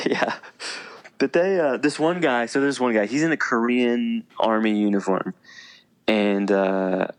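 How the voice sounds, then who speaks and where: A man's voice: mid-range voice, unhurried, clear, resonant tone. A man chatting casually as a podcast guest, telling personal stories in an informal, self-deprecating way. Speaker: podcast guest, military veteran and teacher.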